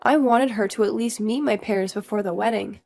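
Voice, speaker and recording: A woman playing a patient, tired but dutiful young newlywed wife in a scripted audio drama, speaking in a measured, polite conversational way. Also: medium pitch, creaky, darkish tone, soft background music, lightly acted. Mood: mildly exasperated.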